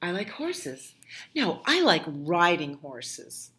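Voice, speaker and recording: A woman's voice: fairly low pitch, big pitch swings, slow, fairly bright tone, exaggerated voice. A woman voicing an eager, playful young child in a picture book, emphatic and contrary, drawing out favourite words. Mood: delighted. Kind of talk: picture-book dialogue read aloud.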